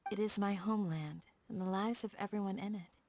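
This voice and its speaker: Woman acting a dutiful village guardian, young woman, soft-spoken, measured, courteous, earnest, video game dialogue, medium pitch. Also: a little hiss or hum, close to an everyday voice. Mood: warm, reflective. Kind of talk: scripted game dialogue, storytelling.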